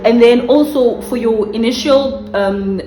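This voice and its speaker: A woman's voice: fairly high pitch, unhurried, roomy sound, music in the background, poor audio. A woman from South Africa with a South African accent, casually explaining tips to her viewers at home, often restarting her phrases. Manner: chatty, restarts phrases, addresses viewers directly. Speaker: vlogger giving career tips. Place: home room by a street.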